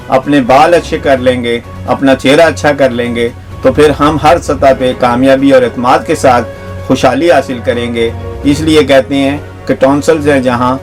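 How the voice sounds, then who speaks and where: Male health advisor, earnest list-driven delivery, faint music underneath. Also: mid-range voice. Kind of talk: instructive health talk to camera.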